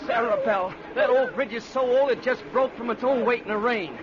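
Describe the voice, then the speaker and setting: A man's voice: very high voice, fairly quick, a loud crowd. An actor playing an impatient, disbelieving young country man with scolding rural speech, in vintage radio drama style. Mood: alarmed, impatient. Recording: muffled, noisy old radio recording.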